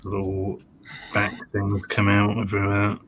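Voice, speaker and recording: A man's voice: fairly low pitch, completely flat delivery, unhurried, fairly bright tone, slight background noise. A man on an online hobby panel with a dry, self-mocking sense of humor. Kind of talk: casual chat on a live stream.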